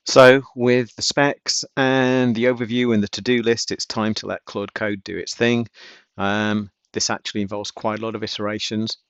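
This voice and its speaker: A man's voice: lowish voice, vocal fry, fairly bright tone. A man narrating a casual explanatory vlog, recorded with a dull, roomy sound. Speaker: vlogger, amateur coder.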